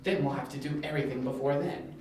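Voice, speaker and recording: A man's voice: medium pitch, fairly even intonation, quick pace, a little mellow, close to an everyday voice. A man playing a smug, taunting criminal mastermind with menacing politeness in an amateur audio drama. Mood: coolly menacing. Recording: very echoey, distant, with faint hiss.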